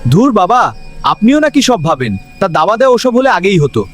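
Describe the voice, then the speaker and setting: A man's voice: sits high, big pitch swings, a little fast, soft background music, voice pushed up. A man voicing a stubborn village man in a horror cartoon, reassuring and dismissive of fears.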